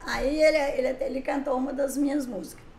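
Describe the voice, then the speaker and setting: An older woman with a high voice tells stories in a lively way, acting out the dialogue as she goes. The room echoes a lot, and there is a faint hum in the background. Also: fast talker. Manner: lively storyteller who acts out past conversations. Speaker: writer and songwriter guest. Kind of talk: casual interview chat.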